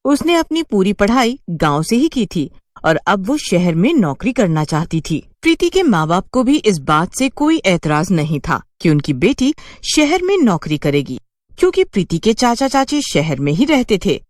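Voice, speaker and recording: A woman's voice: mid-range voice, very animated, normal speed, darkish tone, no room sound, studio quality. A woman telling a scripted cartoon story as its narrator.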